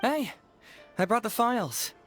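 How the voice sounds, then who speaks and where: A young male detective, breezy and confident, with playful, instructive phrasing. It is game dialogue with soft music underneath. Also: very high voice, sing-song, measured pace, heavily acted. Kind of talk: video game dialogue acting.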